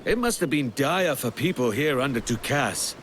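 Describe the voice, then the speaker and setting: An actor playing a roguish, easygoing young pirate captain in a video game, with colloquial, self-assured sailor talk. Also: male voice, mid-range voice, animated, moderate pace, fairly bright tone, a little hiss or hum, close to an everyday voice. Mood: steady. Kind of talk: video game cutscene acting.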